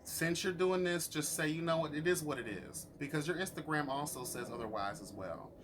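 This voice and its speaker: A man's voice: fairly high pitch, brisk, a bit bright, a little hiss or hum. A man giving casual, profane YouTube review commentary in a sassy, emphatic, slangy way, addressing people as honey and girl. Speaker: YouTuber reviewing a reality show.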